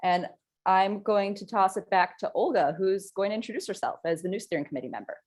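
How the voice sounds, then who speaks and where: A woman's voice: medium pitch, fairly quick, darkish tone. A woman from an advocacy group giving upbeat news at a casual online meeting.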